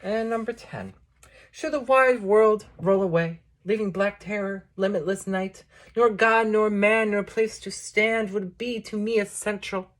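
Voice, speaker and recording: A woman reads verse aloud with a middle-pitched voice. She recites in a measured, declamatory way, setting out each short phrase on its own as a poetry reader would. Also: steady tone. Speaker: poetry reader introducing a book. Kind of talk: recited poetry reading with short spoken introduction.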